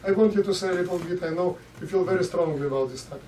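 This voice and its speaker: A man's voice: high-pitched, rapid-fire, darkish tone. A man hosting a community forum, addressing panelists and putting questions to them. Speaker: forum moderator and host. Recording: roomy, distant mic, faint noise.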